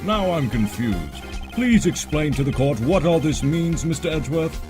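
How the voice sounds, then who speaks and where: A man's voice: on the high side, big pitch swings, normal speed, over music. A man voicing an authoritative but easily confused judge in a courtroom game fandub, with a solemn, commanding manner. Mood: puzzled, solemn.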